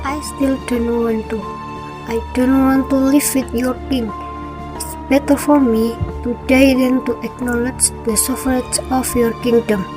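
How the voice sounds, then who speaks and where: A woman's voice: sits high, level tone, a little slow. A woman playing a loyal, defiant young princess in an amateur radio drama. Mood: defiant, desperate. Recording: music behind the voice.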